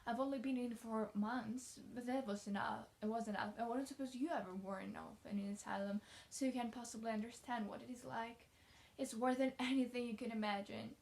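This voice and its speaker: Woman voicing an eager, chattering orphan girl, high-pitched. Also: steady tone, fairly quick, gravelly, darkish tone. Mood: wistful, confiding. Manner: effusive, chattering, dreamy. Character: talkative, eager, imaginative young orphan girl.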